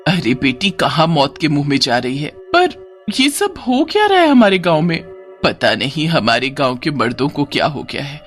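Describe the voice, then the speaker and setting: A man's voice: a little high, fairly bright tone. A man voicing a worried older village mother who frets and laments in a cartoon moral story. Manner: fretful, explanatory.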